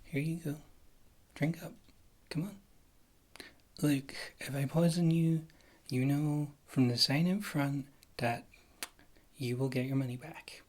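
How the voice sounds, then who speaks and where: A woman playing a wry witch healer in an ASMR fantasy roleplay, speaking softly and often slipping into a whisper, in a rambling, casual, reassuring way. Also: sits low, fairly even intonation, slow pace. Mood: offhand, wry. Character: wry, thrifty witch healer, a former adventurer.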